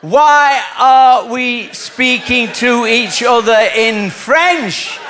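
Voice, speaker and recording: A man voicing an Englishman abroad in a stand-up routine, speaking loudly with drawn-out, over-enunciated words so as to be understood. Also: very high voice, very noisy, pitched above the performer's own voice, exaggerated voice. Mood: painstakingly slow.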